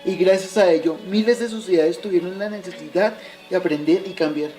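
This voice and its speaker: Fatherly medieval mentor acted by a man, high male voice, explanatory scripted delivery, music clearly behind. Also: brisk, warm tone, lightly acted, poor audio. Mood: instructive. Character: fatherly medieval man patiently teaching a youth. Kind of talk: amateur educational radio play.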